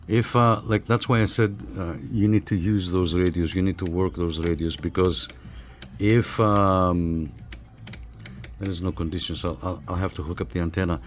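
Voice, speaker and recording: A man's voice: sits low, darkish tone. A man giving a casual hands-on review as a hobbyist, thinking aloud as he works, with hesitations and repeated phrases. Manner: hesitant, repetitive, thinking aloud while working. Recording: clear background noise.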